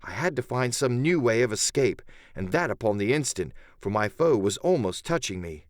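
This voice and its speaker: A man's voice: medium pitch, very animated, normal speed, no room sound, studio quality. A man narrating an adventure audiobook in the first person, with theatrical, literary storytelling.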